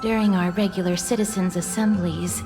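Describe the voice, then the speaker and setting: A woman's voice: lowish voice, steady tone, music in the background, close to an everyday voice. Poised, courteous young woman, voiced by an actress. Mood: matter-of-fact. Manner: formal, courteous, measured. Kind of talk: scripted video game drama.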